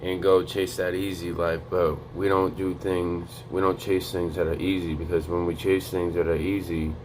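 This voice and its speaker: Young male vlogger, deep and flat, quiet casual street talk, slight background hum. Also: normal speed. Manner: low, quiet monotone to avoid waking a neighbor. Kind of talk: motivational vlog talk. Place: home in North Dakota.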